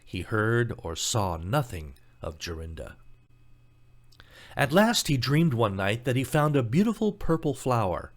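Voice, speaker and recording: A man's voice: mid-range voice, sing-song, measured pace. A man reading a scripted fairy tale as a storybook narrator, telling it expressively.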